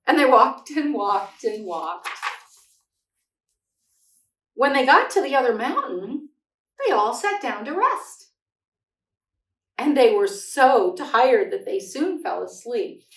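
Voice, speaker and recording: A middle-aged woman with a fairly high voice reads aloud for young children. She takes her time and explains things in simple, expressive phrases. The sound is echoey, as if she sits some way from the microphone. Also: slow, long pauses. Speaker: children's read-aloud host. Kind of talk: picture book read-aloud and gentle talk to young children. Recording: echoey room, distant microphone.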